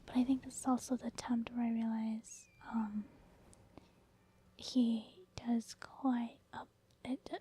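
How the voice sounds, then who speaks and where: Female ASMR gaming host: whispered delivery, fairly flat intonation, informal, thinking-aloud commentary. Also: a little high.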